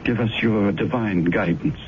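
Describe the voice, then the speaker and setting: An actor playing a pious, persuasive man in an old radio drama, speaking in a soothing, solemn, measured manner. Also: on the low side, lightly acted. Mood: pious, fervent. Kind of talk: 1970s radio drama acting. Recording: muffled, hissy old radio broadcast recording.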